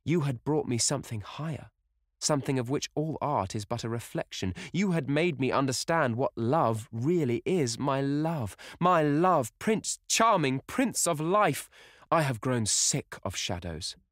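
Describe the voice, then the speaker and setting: A man's voice: mid-range voice, expressive, unhurried, no room sound, studio quality, voice pushed up, close to an everyday voice. A man reading aloud, voicing a young actress swept up in love, in an ecstatic, pleading, theatrical way. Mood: rapturous.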